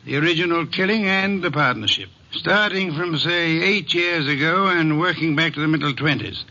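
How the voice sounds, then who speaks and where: An actor playing an imperious, erudite older detective in a radio drama, speaking precisely and with pompous command. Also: male voice, fairly high pitch, moderate pace, bright, forceful tone. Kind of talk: 1940s-50s radio detective drama acting. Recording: dull lo-fi vintage radio recording.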